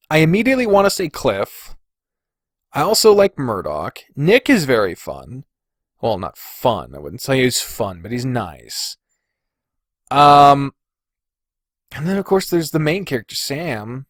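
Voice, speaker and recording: A man's voice: mid-range voice, big pitch swings, slow, pauses often, no echo, studio quality. A man hosting a podcast alone, talking casually and thinking aloud with informal, loose phrasing. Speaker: podcast host. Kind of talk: casual solo ranking commentary.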